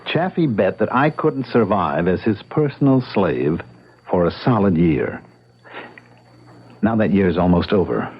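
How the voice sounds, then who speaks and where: An actor playing an earnest, stubborn young American bachelor in an old-time radio drama, explaining himself emphatically. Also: male voice, sits low, animated, unhurried, husky, heavily acted. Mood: insistent. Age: young adult. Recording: muffled radio drama recording.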